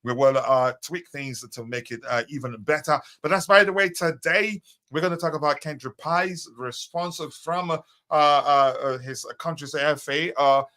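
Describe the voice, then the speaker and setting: Man, live stream host, casual chat, frequent fillers, higher voice, bright tone. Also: normal speed, hardly any pauses. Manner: chatty, full of fillers, greets viewers by name.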